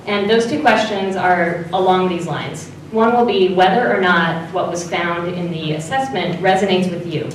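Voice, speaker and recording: Woman facilitator, loud organized speech, lowish pitch, very echoey hall, slight hiss. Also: moderate pace, few pauses, far from the mic. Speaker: facilitator presenting an assessment. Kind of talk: public meeting presentation. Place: large meeting room.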